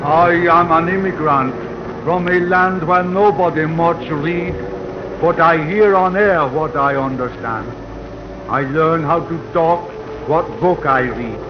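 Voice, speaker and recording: Slow-speaking, humble immigrant, old radio. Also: male voice, fairly high pitch. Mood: humble pride. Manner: slow, simple broken English. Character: humble, proud immigrant man learning through radio. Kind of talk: 1940s radio acting. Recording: noisy, dull 1940 radio recording.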